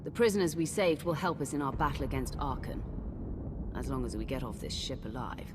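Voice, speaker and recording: Low-voiced, commanding woman as military leader. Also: a little fast. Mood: resolute. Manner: firm, commanding. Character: resolute female military commander with a conscience. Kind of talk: video game cutscene acting. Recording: game music under the voice.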